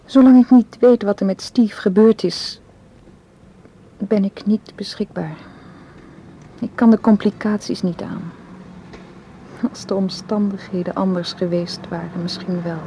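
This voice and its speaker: A woman's voice: mid-range voice, steady tone, measured pace, long pauses, dark tone, a little hiss or hum, close to an everyday voice. An actress in a Dutch radio play, playing an anxious, guarded woman who speaks hesitantly and earnestly. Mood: troubled, hesitant. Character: anxious, guarded woman distrustful of her male suitor.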